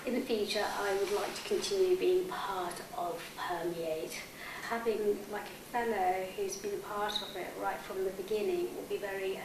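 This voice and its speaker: A woman in her thirties, originally from Bangladesh, giving a reflective interview testimonial in a halting, thinking-aloud manner. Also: mid-range voice. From born in Bangladesh, moved to the UK as a child. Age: 30s. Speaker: arts fellowship participant, artist educator. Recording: roomy, distant mic, clearly noisy.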